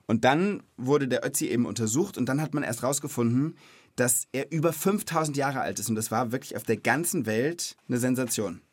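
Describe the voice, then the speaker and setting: A man's voice: medium pitch, lively intonation, brisk, dry recording. A man hosting a kids' knowledge podcast, explaining things in an informal, curious way and checking back with questions. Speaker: host of a children's knowledge podcast. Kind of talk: casual conversational explaining to a child.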